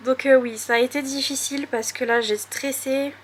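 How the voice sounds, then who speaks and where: Woman book vlogger, fairly high voice, casual chat from home, lots of laughs and restarts, faint hiss behind. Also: steady tone, moderate pace. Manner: chatty, laughs often, frequent hesitations and restarts. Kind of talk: casual reading vlog chat. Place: room at home with windows open.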